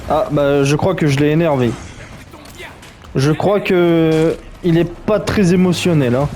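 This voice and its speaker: A man's voice: a little high, unhurried, darkish tone, a little hiss or hum. A man commenting on a game as he plays, in a chatty, joking, reactive way. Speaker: gaming streamer. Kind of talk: let's play commentary.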